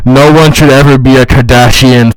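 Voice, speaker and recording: A man's voice: mid-range voice, fairly even intonation, moderate pace, clear, resonant tone, no echo, poor audio. A man doing a comedic reading with sarcastic, mocking, profane asides. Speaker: comedic reader.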